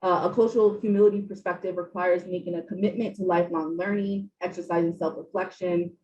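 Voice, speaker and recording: A woman co-hosts an online training webinar and walks through definitions thoughtfully. Her voice sits fairly low. The call audio is dull and lo-fi, with faint noise behind her. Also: steady tone, brisk, a little mellow. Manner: thoughtful, reads definitions clearly. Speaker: webinar co-presenter.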